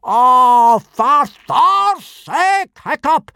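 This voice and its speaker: A man's voice: extremely high pitch, lively intonation, drawn-out words, pushed and bright, clear, resonant tone, dry recording, clean studio sound, pitched above the performer's own voice, full character voice. A man playing a huge, hairy, blustering Viking teacher in a storybook, roaring and bellowing commands. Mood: exasperated.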